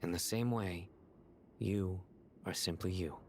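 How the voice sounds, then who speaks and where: A man playing a composed, dryly serious companion in a video game, with measured, matter-of-fact delivery. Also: low-pitched, a little mellow, slight background noise. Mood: calm, serious. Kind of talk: scripted video game dialogue.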